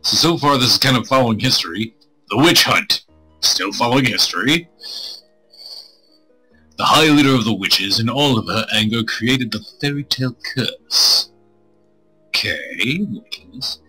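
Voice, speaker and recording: A man streaming a visual novel, reading it aloud with crude, irreverent joking asides, in a husky voice. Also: mid-range voice, animated, unhurried, long pauses, pushed and bright, poor audio. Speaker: game streamer. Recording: music playing behind the voice.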